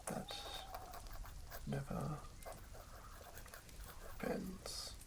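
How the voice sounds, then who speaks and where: A woman whispering in a breathy, unhurried ASMR style, muttering and repeating words as the host rambles through making a roleplaying character. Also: heavy background noise.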